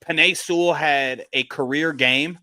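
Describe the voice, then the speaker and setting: A man's voice: a little high, fairly even intonation, measured pace, bright, forceful tone, a little hiss or hum. A man leading a casual sports debate, speaking emphatically and thinking aloud as he repeats his points.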